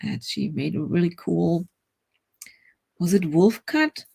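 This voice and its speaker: Brief: German-accented woman hosting a stream, gravelly voice, relaxed rambling chat with plenty of laughs. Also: lowish voice, takes it slowly, no room sound. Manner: chatty and rambling, laughs often, greets chat. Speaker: writing livestream host. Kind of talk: casual livestream chat.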